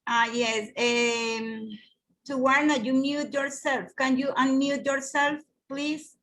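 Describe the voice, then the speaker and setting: High, slow-spoken woman. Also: steady tone, a bit bright, slight background noise. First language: Spanish. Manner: hesitant, halting English with many restarts. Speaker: teacher hosting an online class exchange. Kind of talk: hosting a video call. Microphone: video call.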